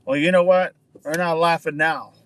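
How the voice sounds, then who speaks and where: A man's voice: fairly high pitch, fairly even intonation, normal speed, fairly bright tone, clean tone. A man hosting a casual livestream outdoors, chatting with viewers and answering their comments, often repeating words for emphasis. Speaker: livestream host.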